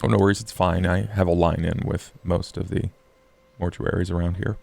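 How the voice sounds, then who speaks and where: A man voicing a very tall, calm vampire, speaking formally and deliberately with a gravelly voice. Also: sits low. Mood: calm, measured.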